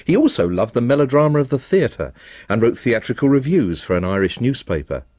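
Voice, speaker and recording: A man presenting a radio arts documentary with measured, articulate, scripted narration. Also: mid-range voice, very animated, a little mellow, dry recording, clean studio sound.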